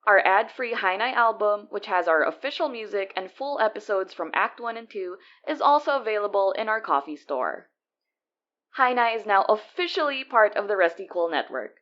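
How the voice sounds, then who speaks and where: A woman's voice: medium pitch, animated, moderate pace, fairly bright tone, dull audio. A woman reading a scripted podcast outro as co-producer, with credits and announcements for listeners.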